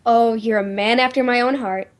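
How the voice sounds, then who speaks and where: A woman's voice: a little high, fairly even intonation, moderate pace, natural voice. A woman playing an anxious, insistent well-to-do lady in old time radio drama style, urgent and faltering in manner. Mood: guarded politeness.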